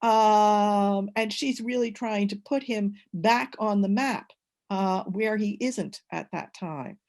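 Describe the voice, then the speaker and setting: A woman on an academic panel, talking in a measured, hesitant way with many filler sounds over a video call. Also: mid-range voice, darkish tone.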